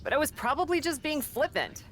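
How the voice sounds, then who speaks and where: An actress playing a young pro wrestler in a video game, offhand and breezy with a defensive edge. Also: female voice, high-pitched, big pitch swings, a little fast, fairly bright tone, a little hiss or hum. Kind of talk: casual video game cutscene dialogue.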